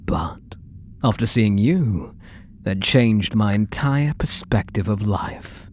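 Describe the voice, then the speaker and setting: An obsessive British male artist played by a man murmurs to his beloved in an ASMR roleplay. His soft, breathy voice is unhurried and very expressive in pitch, sliding into whispers with possessive tenderness. There is noticeable background noise. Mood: adoring, intimate. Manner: tender, slow, menacingly intimate.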